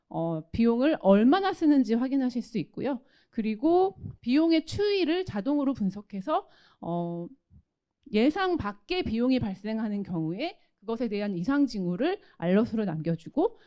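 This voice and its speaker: A woman's voice: a little high, lo-fi sound. A woman giving a technical product presentation in a polite, formal, explanatory manner. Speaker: company solutions presenter.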